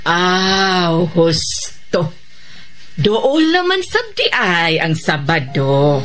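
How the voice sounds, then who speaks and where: A woman's voice: on the low side, big pitch swings, very slow, full character voice. A woman with a Cebuano Filipino accent playing a feisty, chatty, teasing woman in a radio drama, full of familiar exclamations. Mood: amused, gossipy.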